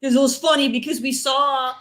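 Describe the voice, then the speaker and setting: A woman speaks as a regular podcast panelist in a somewhat high voice. She tells stories casually and bluntly, in loose conversational phrases. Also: fairly even intonation, brisk, a bit bright. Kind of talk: casual chat.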